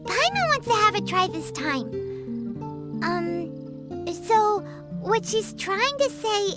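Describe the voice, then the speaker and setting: A tiny fairy sidekick with an extremely high, childlike voice that swings up and down. She is cheeky, blunt and bubbly, talking at an unhurried pace in anime game style. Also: very animated, loud music behind the voice, exaggerated voice. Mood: eager. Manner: childlike, refers to self in third person.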